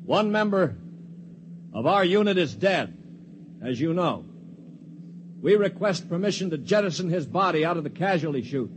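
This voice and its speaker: An actor narrates and leads an old science fiction radio play in a wry, commanding way. His pitch moves a lot from line to line. A steady broadcast hiss runs underneath. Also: moderate pace, pauses often. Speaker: narrator and lead character. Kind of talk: 1950s radio drama acting. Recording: noisy 1950s radio broadcast.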